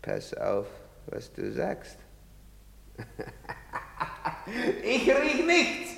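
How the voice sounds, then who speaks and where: An actor playing a coarse, bullying soldier who mocks and taunts in a drama. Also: male voice, sits high, wildly swooping pitch, extremely slow, gravelly, fairly bright tone, heavily acted. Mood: mocking. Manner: mocking, swaggering, taunting. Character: coarse bullying male soldier, loud and jeering. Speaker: soldier in a stage play. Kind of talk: radio drama acting. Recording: echoey hall reverb.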